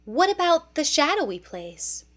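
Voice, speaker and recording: A woman's voice: high-pitched, sing-song, measured pace, fairly bright tone, pitched above the performer's own voice, exaggerated voice. A woman voicing an eager, curious, proud young male lion cub in a storybook. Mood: curious, awed.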